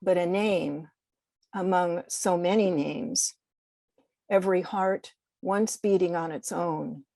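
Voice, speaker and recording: A woman's voice: fairly low pitch, slow pace, gravelly, warm tone, dry recording. A woman poet speaking reflectively and a little hesitantly as a podcast guest, talking about literature and reading.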